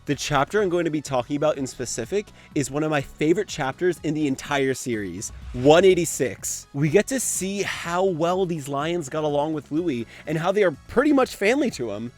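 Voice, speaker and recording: A man's voice: a little high, darkish tone. A young man giving enthusiastic video-essay commentary as a fan, casual and gushing, with some swearing.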